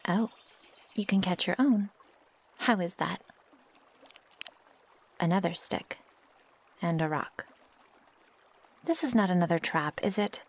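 A woman playing a naive, impatient young werewolf in an ASMR roleplay, speaking softly and breathily in stilted, formal phrasing. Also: fairly low pitch, slow pace, long pauses. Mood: earnest, curious. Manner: stilted formal phrasing without contractions, often breathy or near-whispered. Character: feral young female werewolf, naive, curious, impatient, possessive. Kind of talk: ASMR roleplay, intimate one-sided dialogue.

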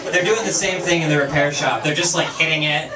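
A fairly high-voiced young man works a casual stand-up set, slipping into quick little character asides. He is picked up from across an echoey bar room. A lively crowd laughs around him. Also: recorded from a distance. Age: young adult. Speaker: stand-up comedian. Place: small bar stage with audience. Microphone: handheld mic, far camera.

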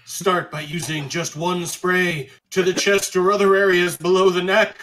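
A man's voice: sits high, little pitch movement, unhurried, fairly bright tone, slight background noise, poor audio. A man on a podcast, bantering casually and reading text aloud. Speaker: podcast reader.

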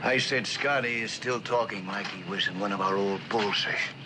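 Casting: male actor for sardonic American company man, middle-aged, world-weary, TV drama; old soundtrack with clear noise. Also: lowish voice, normal speed, close to an everyday voice. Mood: weary sarcasm. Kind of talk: 1960s television drama acting. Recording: noisy old TV film soundtrack.

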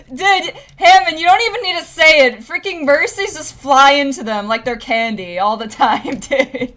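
A young woman streaming a game on a headset mic, gushing and giggling excitedly as she comments. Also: high voice, measured pace. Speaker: gaming streamer.

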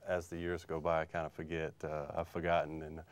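A man in his forties from Louisiana, a former quarterback answering interview questions in a halting way, with many restarts, in a TV studio on a lapel mic. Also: rumbling low, completely flat delivery. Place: TV studio set.

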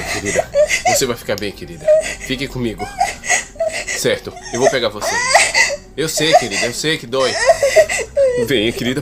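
A Brazilian man playing a protective, desperate father in a dramatic video game fan dub. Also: medium pitch. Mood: anguished. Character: protective, desperate father fleeing with injured daughter. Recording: clear noise and ambience behind voice.

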